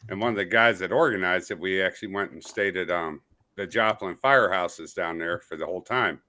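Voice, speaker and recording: American guy, lowish voice but bright and punchy, telling stories casually on a podcast. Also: fairly quick, no echo. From St. Louis County, Missouri, USA. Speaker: podcast panelist, firefighter. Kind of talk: casual personal storytelling.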